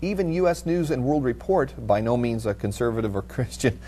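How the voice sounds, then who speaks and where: A middle-aged man hosting a studio panel talk, reading out and commenting on news in a halting way. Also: medium pitch, lively intonation, mellow. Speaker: TV panel host. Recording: audible background noise with hum.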